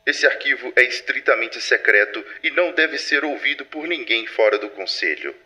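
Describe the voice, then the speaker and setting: A man's voice: medium pitch, animated, normal speed, pushed and bright, breathy edge, heavily acted. A Brazilian man playing a composed, authoritative superintendent of a secret organization in an audio drama. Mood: formal, measured. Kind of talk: formal scripted archive recording, audio drama. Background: music.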